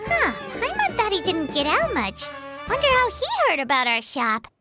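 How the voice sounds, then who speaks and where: A woman voicing a tiny, childlike floating fairy companion in an anime game, squeaky and exclamatory. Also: wildly swooping pitch, normal speed, loud music behind the voice, full character voice. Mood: puzzled curiosity. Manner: exclamatory, speaks of self in third person.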